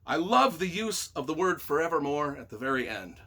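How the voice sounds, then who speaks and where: A man's voice: a little high, sing-song, brisk, pushed and bright. A man reading reviews aloud and reacting off the cuff as their author, with a theatrical, emphatic, mock-exasperated delivery.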